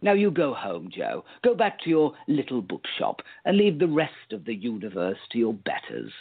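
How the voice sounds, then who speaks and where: An actor playing a smug, condescending time-travelling villain in a radio play, with a theatrical sneer. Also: male voice, medium pitch, wildly swooping pitch, moderate pace, creaky, husky, full character voice. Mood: smug, mocking. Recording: tinny phone-like line.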